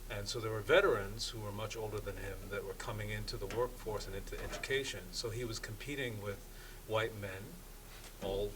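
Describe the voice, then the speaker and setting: A man's voice: on the low side, steady tone, brisk, crowd noise. A man speaking as a panelist, telling anecdotes in a conversational discussion. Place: live event panel. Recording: distant microphone, faint room noise.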